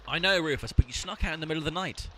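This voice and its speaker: A man plays a bossy, sarcastic amateur detective in a comic audio drama. His voice sits fairly high and is very bright and forceful. Faint noise runs behind it. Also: rapid-fire, lightly acted. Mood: exasperated.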